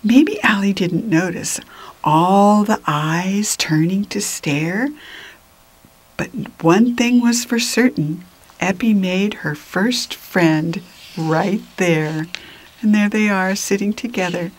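Unhurried woman reading a picture book aloud. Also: mid-range voice, low-quality recording. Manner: expressive, deliberate, drops into whispers. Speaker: children's storybook reader. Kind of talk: picture book read-aloud with asides to children.

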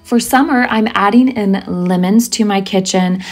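A woman's voice: mid-range voice, normal speed, faint music behind. A woman home decor vlogger narrating a voice-over tour of a home.

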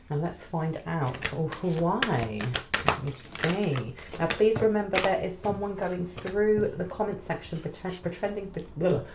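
A woman's voice: fairly low pitch, darkish tone. A woman with a slight cold, crackly voice, giving a collective tarot reading, often checking in with "okay?". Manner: soothing, repeats "okay?" often. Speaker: tarot reader. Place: small room at a table. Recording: roomy, slightly dull home recording.

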